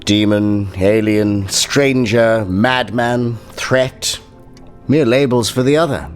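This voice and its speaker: An actor in an audio drama playing a powerful, menacing doctor, speaking in a measured, condescending and coolly controlling way. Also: male voice, fairly low pitch, soft background music. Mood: calm, calculating. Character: smooth, menacing, powerful male doctor interrogating and pronouncing.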